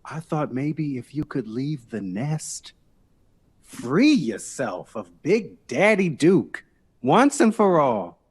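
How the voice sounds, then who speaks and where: A man's voice: on the high side, big pitch swings, slow pace, husky, exaggerated voice. An actor playing a proud, wounded male lover and performing artist in a period audio drama. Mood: hurt pleading.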